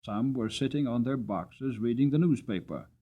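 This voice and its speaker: A man narrating a story as a dramatic audiobook reader, expressive and deliberate in his phrasing. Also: medium pitch, slightly rough.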